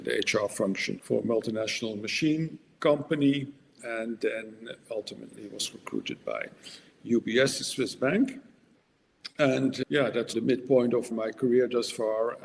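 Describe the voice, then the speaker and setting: A man, a podcast guest who speaks in a measured way and pauses often with "uh". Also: fairly low pitch, gravelly. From Netherlands. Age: 60s. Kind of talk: podcast interview. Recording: long reverb, faint background noise.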